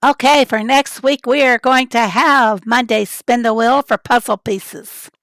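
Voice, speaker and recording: A woman hosting a casual livestream, chatty and rambling as she greets viewers from the chat, her voice raspy and hoarse from a summer cold. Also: on the high side, steady tone, unhurried, fairly bright tone, no echo. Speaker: livestream host.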